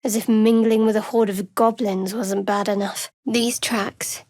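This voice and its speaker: A woman playing a guarded, devout young cleric in a video game, with a measured, dry and wry delivery. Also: medium pitch, little pitch movement, darkish tone, dry recording, close to an everyday voice. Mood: dry, observant. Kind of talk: video game character voice lines.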